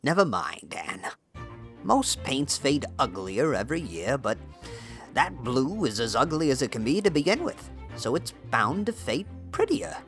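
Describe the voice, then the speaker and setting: An actor playing a gruff but consoling older farmer neighbour in a dramatized audiobook. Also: male voice, mid-range voice, big pitch swings, a little slow, a bit bright, soft background music. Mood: consoling, wry. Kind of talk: dramatized audiobook character acting.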